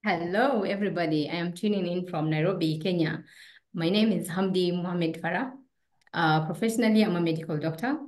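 Female doctor, low-mid pitch, careful with pauses. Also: darkish tone. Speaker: medical doctor, panel guest. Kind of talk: introduction on a video call.

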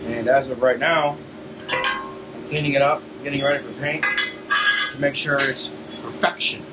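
A man's voice: mid-range voice, steady tone, moderate pace. A man in his thirties, a fabricator, chatting casually on the job in a big workshop. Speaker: shop fabricator. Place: large metal-roofed workshop. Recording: very noisy workshop, clanking metal.

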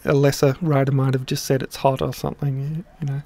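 A middle-aged man explaining his song translation in a casual, halting way, with many pauses and restarts. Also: mid-range voice, level tone, vocal fry, darkish tone, no echo. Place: small room indoors.

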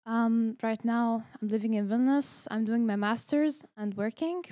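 A woman's voice: a little high, steady tone, dark tone. Young woman from lebanon, earnest, reads off paper w lots of ums, handheld mic in a small hall. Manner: earnest, reading from paper with many ums and pauses. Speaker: guest speaker. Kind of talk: personal testimony read from notes. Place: small event hall before a seated audience. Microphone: handheld microphone.

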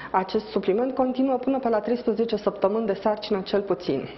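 A middle-aged woman obstetrician explaining things calmly as a guest on a TV interview, with measured speech and frequent hesitant fillers, on a lapel mic in a hospital lobby. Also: medium pitch. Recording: dull, slightly noisy broadcast audio.

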